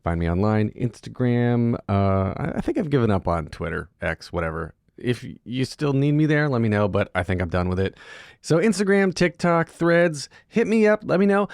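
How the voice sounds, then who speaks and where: A man's voice: medium pitch, sing-song, normal speed, gravelly, darkish tone, clear, resonant tone, dry recording, studio quality. A man hosting a theater podcast, chatting casually and upbeat with his guest. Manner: upbeat, chatty, uses fillers. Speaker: podcast host. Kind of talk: casual interview chat.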